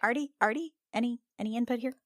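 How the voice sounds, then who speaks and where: A woman's voice: a little high, fast talker, a bit bright, dry recording, clean studio sound. A woman casually improvising as a player in a tabletop game, with an informal, conversational manner.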